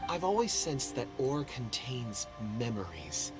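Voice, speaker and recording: A modest young game character with a mid-range, lively voice. He is earnest, polite and slightly hesitant, with music behind him. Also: medium pitch, measured pace. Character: shy, earnest young man with a secret gift. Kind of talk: video game dialogue.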